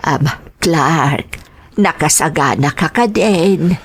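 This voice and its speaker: A woman playing a gentle, worried grandmother in an audio drama, soothing and counselling her grown grandson. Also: low-pitched, expressive, slow pace, slight background noise. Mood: concerned.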